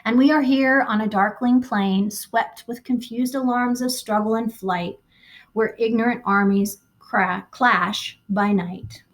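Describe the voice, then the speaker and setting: A woman voicing a shaken man reading a poem aloud slowly and solemnly, in an audiobook. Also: on the high side, steady tone, natural voice. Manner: slow, solemn recitation. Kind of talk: audiobook character voice.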